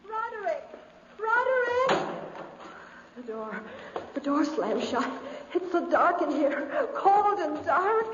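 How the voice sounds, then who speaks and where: A woman's voice: sing-song, slow pace, full character voice. An actress playing an anxious, frightened lady in a gloomy mansion, pleading in melodramatic 1950s radio style. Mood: terrified, calling out. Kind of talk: 1950s radio drama acting. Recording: muffled, noisy radio recording, deep echo.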